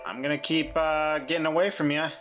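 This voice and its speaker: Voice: mid-range voice, fairly even intonation, normal speed, a bit bright, faint music behind. A podcast player chatting and improvising role play casually, with a wry, joking manner.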